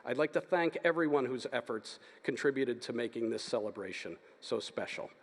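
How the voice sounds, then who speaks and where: A man giving formal, steady announcements as presenter at a graduation ceremony. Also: medium pitch, normal speed, slight background noise. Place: large hall. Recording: long reverb of a large hall.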